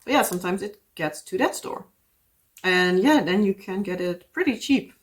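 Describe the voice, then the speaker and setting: A woman's voice: mid-range voice, lively intonation, moderate pace. Woman, Dutch accent, native Dutch speaker, casual rambling chat, lots of fillers. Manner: rambling, full of "like" and "yeah". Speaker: craft vlogger.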